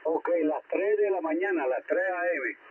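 A man's voice: fairly high pitch, expressive, unhurried. A man chatting casually as an amateur radio operator, repeating himself and addressing his contact directly. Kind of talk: casual ham radio chat. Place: heard over a ham radio receiver. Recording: tinny shortwave radio with light static.

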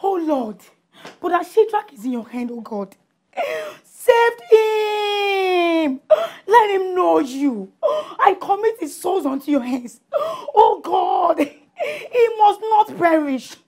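A woman plays a middle-aged Nigerian mother, pleading and fervent. Her voice sits very high, and she pours out urgent prayers through tears. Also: sing-song, slow, a little mellow, heavily acted. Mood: tearful fervent. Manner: fervent tearful prayer in tongues. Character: devout anxious mother praying for son. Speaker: mother of a wayward son. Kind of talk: Nollywood film acting.

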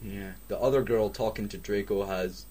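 A man's voice: lowish voice, steady tone, normal speed, dark tone. A Scottish man doing a mocking comic read-aloud with sarcastic, sweary asides. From Scotland. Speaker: co-host reading fan fiction aloud. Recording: noisy home recording.

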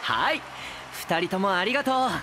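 A man's voice: sits high, big pitch swings, moderate pace, background noise. A male actor playing a polite, princely young idol who hosts a stage event in an audio drama. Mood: gracious. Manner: courteous, smooth, gently chiding. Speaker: stage host.

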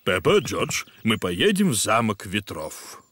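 A man's voice: on the low side, very animated, measured pace, fairly bright tone, a little hiss or hum. A man playing a hearty, slightly grumpy, self-assured father pig in a dubbed preschool cartoon. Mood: genial, assured.

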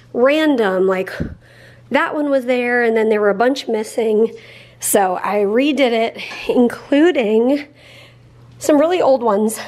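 A woman in her thirties chats to a handheld camera in her kitchen, lively and full of "like." Her voice sits a little high and has a breathy edge. The sound is close and homey. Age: 30s. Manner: chatty, animated, says "like" a lot. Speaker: vlog co-host. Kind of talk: casual vlog chat. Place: home kitchen. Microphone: handheld camera at arm's length.

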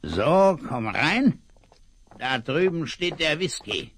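A crafty scheming villain. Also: male voice, fairly high pitch, sing-song, slow pace, fairly bright tone, a little hiss or hum, heavily acted. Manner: sly, wheedling, plotting. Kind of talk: 1970s radio play acting.

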